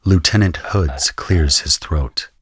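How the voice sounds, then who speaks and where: A man playing a grim, observant conscript soldier who narrates an audio drama in a measured, solemn way. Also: extremely low pitch, fairly bright tone, full character voice. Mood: grim, watchful. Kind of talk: first-person scripted audio drama narration.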